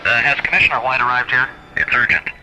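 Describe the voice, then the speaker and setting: An actor playing a crisp, businesslike space station officer in an old-time science fiction radio drama. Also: male voice, mid-range voice, animated, fast, natural voice, low-quality recording. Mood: urgent.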